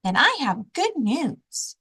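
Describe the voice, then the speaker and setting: A woman's voice: high voice, big pitch swings, slow, bright, forceful tone, slightly rough, heavily acted. A woman voicing a cheerful, carefree female piglet from a children's storybook, sweet and matter-of-fact.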